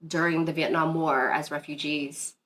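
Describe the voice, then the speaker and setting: A woman's voice: sits low, level tone, normal speed, a bit bright. A woman from Northern Virginia, a DJ guest, telling a personal story in a casual interview, earnest and rambling with many fillers and restarts.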